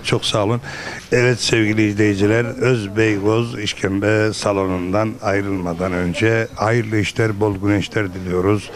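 A middle-aged man presenting a local television program as host, speaking into a handheld microphone. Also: on the low side. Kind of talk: presenting interview with rhyming sponsor thanks. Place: busy restaurant dining room.

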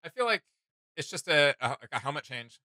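A young man chatting casually as a reaction video co-host, enthusiastic and animated, with slangy phrasing. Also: on the high side, a little fast, dry recording, studio quality. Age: young adult. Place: small indoor room with gaming setup.

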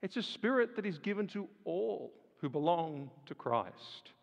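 A man preaching a sermon, firing rhetorical questions and swinging from loud emphasis to hushed lines. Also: high-pitched, sing-song, a little slow, creaky, lo-fi sound. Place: large church hall. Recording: long reverb of a large hall.

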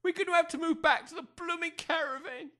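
A woman's voice: extremely high pitch, brisk, sharp tone. A woman playing a harried, scolding village mother in an audio comedy drama, exasperated and colloquial.

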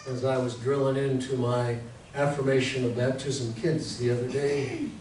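An American man in his sixties preaching a sermon as a pastor, telling it conversationally in short, deliberate phrases. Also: mid-range voice, fairly even intonation, a little mellow. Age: 60s. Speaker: Lutheran pastor. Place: church sanctuary in front of a congregation. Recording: very echoey church hall, distant mic, faint noise.

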